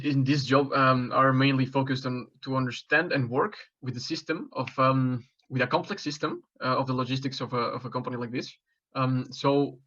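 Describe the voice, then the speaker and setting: Mexican-born man, 20s, job applicant: halting, filler-heavy answers, monotone, slightly muffled audio. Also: medium pitch. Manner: hesitant, many fillers and restarts. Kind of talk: mock job interview answers.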